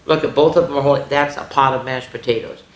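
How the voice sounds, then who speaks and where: A woman hosting a children's story time, reading a picture book aloud expressively, with exclamations and asides to the young listeners. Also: very deep voice. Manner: expressive, exclaiming, pausing to comment and ask the listeners. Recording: echoey room.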